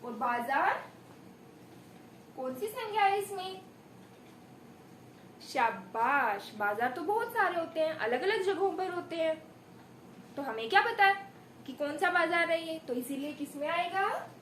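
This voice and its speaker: A woman's voice: extremely high pitch, animated, unhurried, long pauses, a bit bright. A woman giving a demonstration lesson as a primary school teacher, expressively telling a story and prompting pupils with questions and praise. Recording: echoey room with faint background noise.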